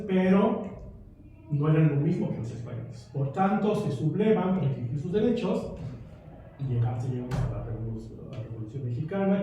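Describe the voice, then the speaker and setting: Guy rambling in a group chat, mellow voice, echoey room far from the mic. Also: a little high, lo-fi sound, slight background noise. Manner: rambling, reflective, uses many fillers. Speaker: discussion participant. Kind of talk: casual group discussion. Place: room of a community gathering.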